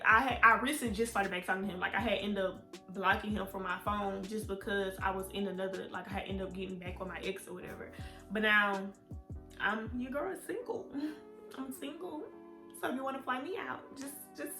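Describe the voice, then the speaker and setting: A woman, chatting candidly to her viewers in a storytime vlog. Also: on the high side. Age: 20s. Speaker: vlogger. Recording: echoey room with faint music.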